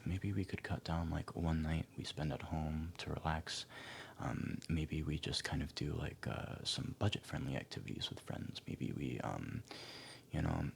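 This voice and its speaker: A man speaking softly, often near a whisper, in a gentle, hesitant, encouraging ASMR roleplay as an advisor talking to a client. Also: rumbling low, vocal fry. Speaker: ASMR performer playing a financial advisor. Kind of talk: ASMR roleplay, soft-spoken one-sided client consultation.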